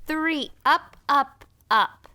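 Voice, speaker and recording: An actress playing an earnest, simple-minded sister in a comic audio drama, speaking in a halting, childlike way. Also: female voice, high-pitched, sharp tone, exaggerated voice.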